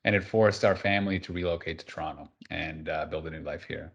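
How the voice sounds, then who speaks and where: Peru-born male guest, low voice, lively and emphatic delivery. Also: fast, gravelly, slightly rough, lo-fi sound. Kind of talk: interview guest on a video call.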